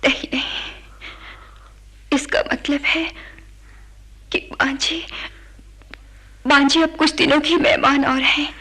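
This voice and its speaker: An anxious young wife in a radio play. Her voice is high and bright, and she talks slowly, feeling her way tentatively through each phrase. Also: little pitch movement, full character voice. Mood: regretful. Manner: halting, tentative. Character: hesitant, anxious daughter-in-law and wife. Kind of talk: radio drama acting.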